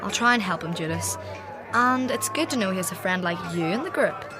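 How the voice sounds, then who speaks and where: A woman's voice: medium pitch, animated, a little fast, over music, crowd noise, natural voice. An actress playing a tired, moody young woman who sighs and runs herself down, in a radio drama. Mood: wistful. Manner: sighing, reluctant, self-critical. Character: weary, moody young woman, sister of the host.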